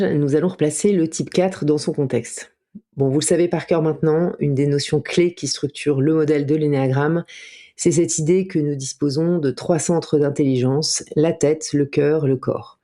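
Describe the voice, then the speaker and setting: A woman co-hosting a podcast, reading a calm, steady explanation in the manner of a coach. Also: sits low, moderate pace. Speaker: podcast co-host and enneagram coach. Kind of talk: read explanatory narration.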